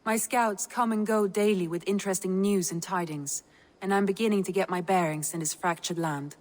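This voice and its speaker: A woman's voice: medium pitch, steady tone, normal speed, darkish tone, long reverb tail, lightly acted. A woman acting as a composed Viking advisor in a game, briefing in a formal, explanatory manner. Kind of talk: dramatic game dialogue.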